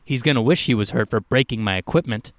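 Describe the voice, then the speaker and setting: An overbearing male boss from a short story, acted out by a male narrator. He speaks slowly and accusingly in a low, clear voice, full of contempt and menace. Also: no room sound, close to an everyday voice. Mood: angry. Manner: slow, menacing, growling.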